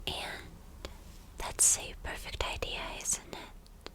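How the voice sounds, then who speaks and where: A woman's voice: slow, lightly acted. A woman acts out a spoiled, cruel villainess girlfriend for an ASMR roleplay. She speaks entirely in a whisper and takes her time over each phrase. Her manner is possessive and coaxing, with teasing endearments that turn domineering. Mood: gloating, coaxing.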